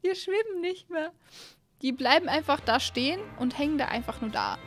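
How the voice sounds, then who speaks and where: High-voiced young woman chatting over a game. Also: lively intonation, moderate pace, faint music behind. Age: 20s. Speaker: host of a gaming channel. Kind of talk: casual let's-play commentary. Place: small indoor streaming room at home. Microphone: close studio microphone on an arm.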